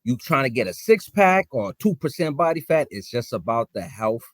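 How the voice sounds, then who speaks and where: A man hosting a podcast, telling a story in relaxed casual slang among friends. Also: mid-range voice, normal speed, no room sound. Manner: laid-back slangy storytelling. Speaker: podcast host. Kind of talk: casual chat.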